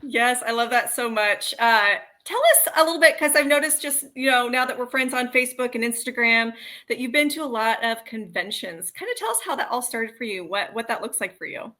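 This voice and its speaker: A woman's voice: on the high side, lively intonation, a little fast, bright, forceful tone. An American woman co-hosting a podcast, chatting casually in an effusive, reactive manner. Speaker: podcast co-host. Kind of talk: casual chat.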